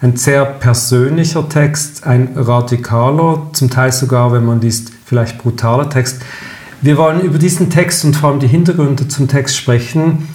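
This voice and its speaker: A man interviewing a guest in a measured way, framing long, careful questions. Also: mid-range voice, a little mellow. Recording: roomy echo.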